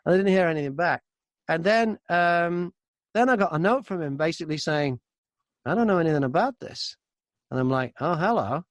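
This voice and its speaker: Guy with a highish voice telling anecdotes in an interview, super dry sound, chatty. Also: animated, a little fast, no room sound. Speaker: interviewed audiobook narrator. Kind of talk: casual storytelling in an interview.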